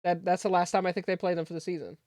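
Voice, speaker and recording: A podcast co-host in casual sports chat, blunt and animated, swearing freely. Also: medium pitch, rapid-fire.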